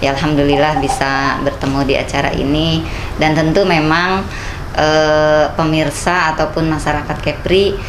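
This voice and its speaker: A woman in her forties from Central Java with a Sundanese-tinged accent, answering interview questions in a measured, polite way. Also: low voice, steady tone. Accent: Sundanese-tinged Indonesian. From Central Java, Indonesia. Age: 40s. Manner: measured, polite, with soft fillers and religious greetings. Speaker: political candidate guest. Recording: echoey room with clear background noise.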